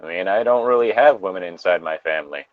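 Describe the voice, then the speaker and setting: A man chatting casually and rambling through halting asides as he co-hosts a read-aloud show over a voice call. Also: low-pitched, expressive, narrow, tinny audio, a loud crowd. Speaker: co-host of a fan fiction reading show. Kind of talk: casual banter and commentary while reading aloud. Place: dry, close setup on a remote voice call.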